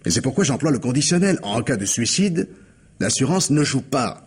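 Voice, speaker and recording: An actor playing a scheming, persuasive husband in a French radio drama, with an accent from France and an insistent, coaxing way of reasoning. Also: male voice, medium pitch, sing-song, normal speed, slightly rough. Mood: insistent, explanatory. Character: scheming, persuasive husband desperate to save his home.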